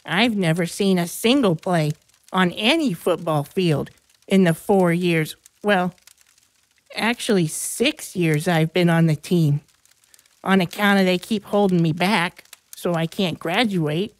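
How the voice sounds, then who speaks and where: A woman plays a scrawny teenage boy in an audiobook story, pleading and full of self-pity. He speaks slowly and dramatically, in a very dry recording. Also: fairly low pitch, no echo, natural voice. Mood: bitter, resigned. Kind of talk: audiobook character acting.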